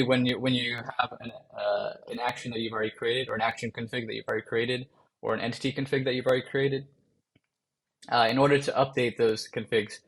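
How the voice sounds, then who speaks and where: Male workshop presenter, mid-pitch, gravelly and a bit worn, relaxed screen-share walkthrough. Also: low-quality recording. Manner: casual, many fillers and restarts. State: voice going rough from a long day of calls. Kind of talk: screen-share coding tutorial.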